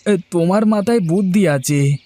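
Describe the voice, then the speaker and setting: A man's voice: high-pitched, moderate pace, mellow, close to an everyday voice. A man voicing a simple, good-natured village man in a cartoon, naive, hesitant and eager to oblige. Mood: puzzled, agreeable. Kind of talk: cartoon voice acting.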